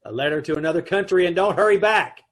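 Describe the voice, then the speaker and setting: A man playing a deadpan, husky-voiced advertising man. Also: sits high, quick pace. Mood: dry. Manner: deadpan, wisecracking. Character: dry wisecracking advertising man narrating. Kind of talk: old-time radio comedy acting and narration. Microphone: video call.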